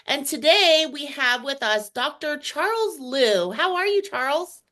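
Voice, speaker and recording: A woman's voice: high-pitched, expressive, a little slow, pushed and bright. A woman hosting an interview, upbeat and affirming, with casual fillers. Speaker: podcast host.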